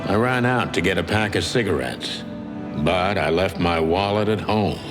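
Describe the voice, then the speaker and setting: An actor playing a weary, cynical aging rooster detective in a noir game, gravelly and deadpan. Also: male voice, low voice, moderate pace, natural voice. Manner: world-weary, deadpan, hardboiled. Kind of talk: noir first-person narration. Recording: studio voice under loud music.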